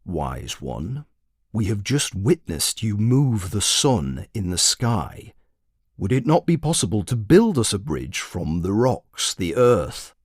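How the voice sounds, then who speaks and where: A man voicing a battle-worn army commander in a story, formal and measured, holding back his rage. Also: lowish voice, sing-song, darkish tone, no echo, studio quality, full character voice. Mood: exasperated courtesy. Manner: formal, measured, clipped patience.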